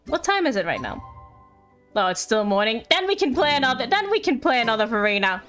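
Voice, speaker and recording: A woman's voice: a little high, big pitch swings, brisk, fairly bright tone. A giggly, playful woman live streamer chatting casually while she plays games. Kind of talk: casual gaming stream chat. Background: music.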